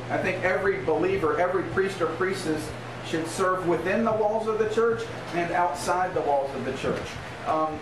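A middle-aged American man preaching an informal sermon as a pastor, emphatic and conversational, checking in with rhetorical questions. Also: high-pitched. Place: small church room in a converted bar, indoors. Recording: roomy, distant mic, noisy background.

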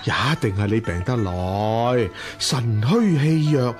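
An actor playing a doting, anxious wealthy husband in a radio drama, given to sighing. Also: male voice, medium pitch, expressive, slow pace, over music. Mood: tender, distressed. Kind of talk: Cantonese radio drama acting.

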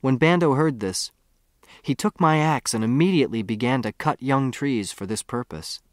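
A man's voice: medium pitch, moderate pace, dry recording. Male audiobook narrator, dry close sound. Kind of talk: scripted first-person story narration.

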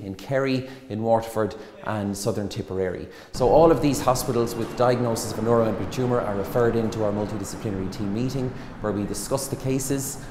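A man in his forties with an Irish accent, a hospital consultant briskly explaining patient care in an interview, recorded on a lapel mic in a small stone-walled room. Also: lowish voice, noisy background. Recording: echoey room with long reverb.

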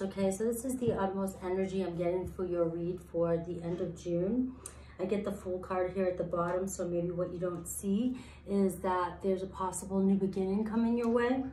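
Casual tarot reader, woman in her thirties, mildly raspy, mid-pitched, filler-heavy delivery, echoey bare room with faint hiss. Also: warm tone. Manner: rambling, thinks aloud with many fillers. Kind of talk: casual tarot reading to camera. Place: sparse room, indoors, seated at floor level.

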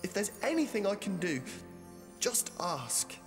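A man's voice: high voice, very animated, measured pace, music in the background. An actor playing an eager, caring teenage boy in a dramatic video game. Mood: reassuring.

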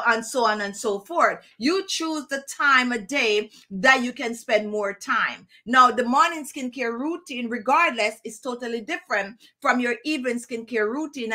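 A middle-aged woman from Jamaica with a Jamaican accent, chatting to camera in a relaxed, digressive skincare tutorial, laughing now and then. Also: high-pitched. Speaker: skincare vlogger. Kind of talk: casual tutorial talk to camera. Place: indoor room with plants and screen.

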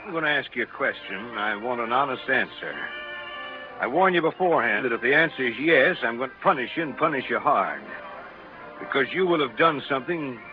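A man's voice: mid-range voice, animated, music in the background, close to an everyday voice. An actor playing a hot-tempered small-town newspaper father in a 1930s radio play. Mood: stern. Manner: blustering, quick to anger. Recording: muffled 1930s radio recording.

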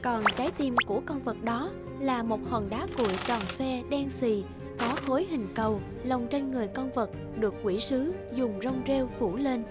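A woman's voice: a little high, normal speed. A woman reading scripted fairy-tale narration for a cartoon. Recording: loud music under the voice. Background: loud background music.

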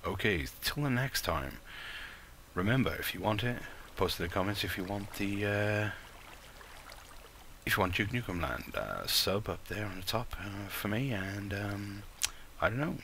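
A man's voice: fairly low pitch, lively intonation, a little slow, pushed and bright, a little hiss or hum. A man with a light Welsh accent hosting a gaming channel, talking casually and rambling over his gameplay. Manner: rambling and self-mocking, with playful put-on crooning.

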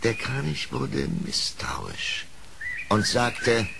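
A man narrating a scripted radio play story in an expressive storytelling manner. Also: medium pitch, drawn-out words, gravelly, noisy background.

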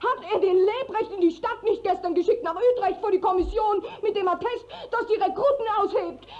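A young village maiden with a very high voice, earnest and defiant. She declaims classical German stage verse with anguish. The old radio play recording sounds dull and muffled. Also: fairly quick. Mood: indignant.

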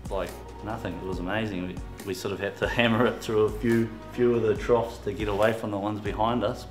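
A man's voice: lowish voice, fairly even intonation, brisk. A man with a New Zealand accent, talking about a fishing trip in an easygoing drawl, tagging lines with "eh". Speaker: fisherman. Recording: roomy sound with music behind.

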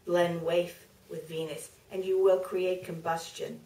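A middle-aged woman author giving a measured, deliberate staged reading from a podium, with pauses for effect. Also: fairly low pitch, level tone, warm tone, far from the mic. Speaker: author reading from her book. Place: theater stage, filmed from the audience. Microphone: podium microphone heard through distant camera. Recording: roomy theater, picked up from afar.

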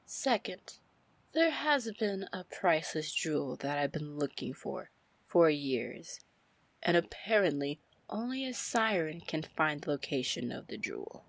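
A woman playing a confident, teasing female pirate captain in an ASMR fantasy roleplay, flirtatious and commanding, with a husky, gravelly voice. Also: low voice, very animated, no room sound, heavily acted. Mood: sly, coaxing. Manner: slow, drawling, flirtatious terms of endearment.

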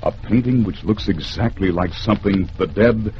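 A man with an American accent narrating a suspenseful 1950s radio drama in theatrical, measured phrases. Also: fairly low pitch. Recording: noisy, dull vintage radio recording.

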